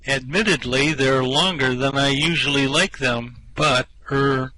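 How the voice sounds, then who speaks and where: Man acting bewildered hapless castaway, slow drawn-out speech, bright tone, bemused complaining, amateur radio play. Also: mid-range voice, rough recording. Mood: bemused, dazed.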